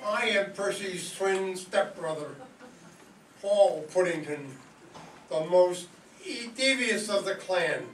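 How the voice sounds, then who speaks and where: An actor playing a devious, scheming heir, oily and melodramatic, in a radio soap opera parody. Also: male voice, high-pitched, animated, takes it slowly, faint music behind. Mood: gloating. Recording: distant echoey stage, faint noise.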